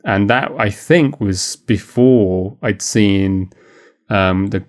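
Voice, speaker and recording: Low-voiced man chatting about films. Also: slow pace. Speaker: podcast co-host. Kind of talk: casual film discussion.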